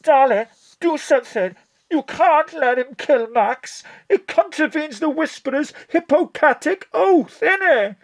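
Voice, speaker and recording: An actress playing an anxious, pleading, soft-hearted criminal accomplice with a whiny, colloquial way of talking in an audio drama. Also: female voice, high-pitched, expressive, measured pace. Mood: pleading, panicked.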